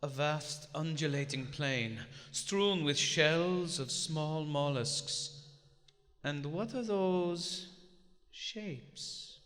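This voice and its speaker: An actor playing a nervous, guilt-ridden German submarine lieutenant who is coming unraveled, performed with anguished fervor in live radio theater. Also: male voice, on the high side, extremely slow, a bit bright. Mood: awed. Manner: anguished, fervent, dramatic. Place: on stage in a large theater. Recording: echoey theater hall, live stage recording.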